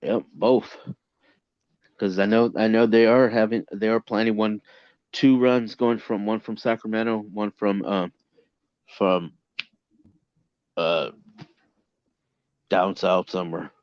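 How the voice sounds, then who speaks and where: A man co-hosting a casual online chat, talking in halting bursts that repeat words. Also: lowish voice, level tone, no echo, dull audio. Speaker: co-host.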